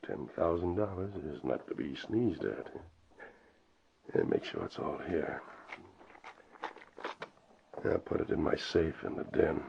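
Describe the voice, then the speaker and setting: An actor playing a greedy, fussy crook, easily flustered and muttering haltingly, in an old-time radio drama. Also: male voice, very deep voice, gravelly, full character voice. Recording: muffled, very noisy old radio recording.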